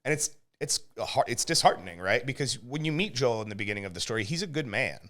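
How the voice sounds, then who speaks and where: A man's voice: medium pitch, animated, fast talker, bright, forceful tone. A man chatting casually as a podcast host, giving his opinions at length in an emphatic, explanatory way and checking in with little tag questions. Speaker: podcast host and game reviewer. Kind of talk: casual opinionated review chat.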